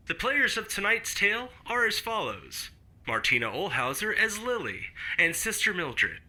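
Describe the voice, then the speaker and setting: A man announcing the closing credits of an audio drama in a clear, announcing manner. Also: medium pitch, pushed and bright. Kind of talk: reading credits.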